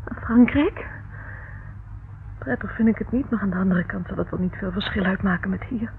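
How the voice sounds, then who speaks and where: An actress playing a frightened, secretive young woman in a radio play, emotional, shifting between hushed and urgent speech. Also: mid-range voice, moderate pace, vocal fry, natural voice. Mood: nervous, evasive. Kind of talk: radio play acting in Dutch. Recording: muffled, narrow-band, noisy old radio recording.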